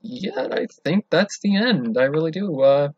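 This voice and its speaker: Husky guy thinking out loud. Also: fairly high pitch, measured pace, dry recording. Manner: excitable, swearing, thinking out loud. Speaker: reaction video host. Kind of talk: casual reaction commentary. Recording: dull lo-fi home recording.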